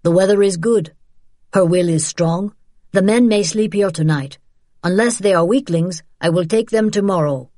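A woman voicing a rough, broad-shouldered Basque smuggler, blunt and growling, in an audiobook. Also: lowish voice, normal speed, dark tone, no echo, studio quality, natural voice. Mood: gruff approval.

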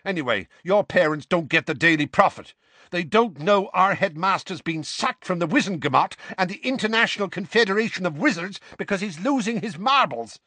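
A man voicing a hostile teenage boy who snaps and yells in disgust. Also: high voice, lively intonation, a little fast, dry recording, voice pushed up, exaggerated voice. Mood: scornful. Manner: snapping, yelling, contemptuous.

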